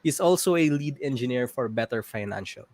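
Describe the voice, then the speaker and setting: A man's voice: mid-range voice, expressive, brisk, darkish tone, dry recording. A man co-hosts an online meetup over a video call, with a dry, close sound. He introduces each speaker in a friendly, casual way. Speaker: meetup co-host. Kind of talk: casual event hosting.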